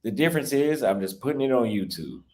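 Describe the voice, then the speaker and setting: A man's voice: medium pitch, expressive, fast talker, a little mellow, a little hiss or hum. A young American man livestreaming as a travel vlogger, talking casually and defensively in long rambling stretches with restarts, his voice a bit cracked. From United States. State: voice slightly cracked. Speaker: travel YouTuber live-streaming. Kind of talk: casual livestream talk reading super chats.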